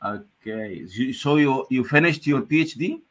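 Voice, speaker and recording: Husky guy chatting on a video call, lots of uh and you know, rambles on. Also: mid-range voice, animated, measured pace, a bit bright. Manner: chatty, rambling, many fillers and restarts. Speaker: session chair. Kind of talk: casual chat on a video call.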